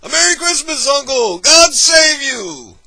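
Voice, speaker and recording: A man voicing a cheerful, warm-hearted young man who speaks in bright, exclaiming phrases. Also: high-pitched, very animated, unhurried, husky, no room sound, pitched above the performer's own voice, exaggerated voice.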